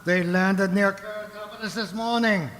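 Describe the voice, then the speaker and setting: High-pitched, blustering onion party boss. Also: male voice, fairly even intonation, moderate pace, fairly bright tone. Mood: blustering outrage. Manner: indignant, bombastic, declamatory. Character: blustering pompous fat onion party Secretary General. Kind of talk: satirical radio comedy acting. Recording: hall-like reverberant stage sound.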